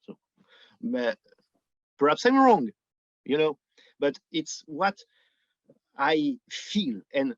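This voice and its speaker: A Frenchman talks slowly in French-accented English, feeling for his words as he goes. His speech is conversational commentary from a podcast guest, and the sound is dull and lo-fi. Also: mid-range voice. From France. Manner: halting, searching for words in non-native English. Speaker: podcast guest, book editor. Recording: dull, roomy, lo-fi remote connection.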